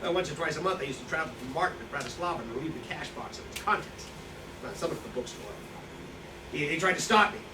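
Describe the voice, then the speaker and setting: An actor playing a boastful, hard-drinking Slovak profiteer who styles himself an outlaw, rambling and justifying himself in a staged reading. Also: male voice, on the high side, lively intonation, quick pace, lightly acted. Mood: boastful, smug. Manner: rambling, boastful, self-justifying, loses his thread. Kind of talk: staged play reading, monologue-like recollection. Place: indoor staged reading, distant microphone. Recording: echoey, noisy room recorded from far off.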